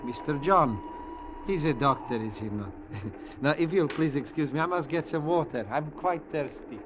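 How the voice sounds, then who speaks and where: A man's voice: a little high, expressive, normal speed, lightly acted. An actor playing a sly, ingratiating little fat man, overly polite, in 1940s radio style. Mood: oily apology. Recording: muffled noisy vintage radio.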